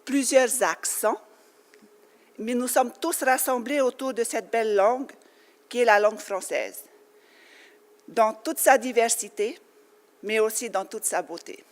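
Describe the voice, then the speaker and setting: A woman's voice: fairly high pitch, unhurried, pauses often. A woman from French Polynesia giving an earnest personal speech to a conference audience, with light laughs and fillers. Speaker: conference speaker. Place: conference hall. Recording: long hall reverb.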